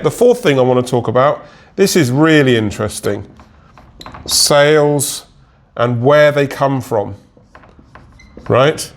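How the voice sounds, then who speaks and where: Consultant, man, stuffy head cold, nasal, chatty explainer, keeps asking "right?". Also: a little high. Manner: chatty, checks in with listeners with "right?" and "okay?". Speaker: Etsy consultant. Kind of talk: casual direct-to-camera explainer.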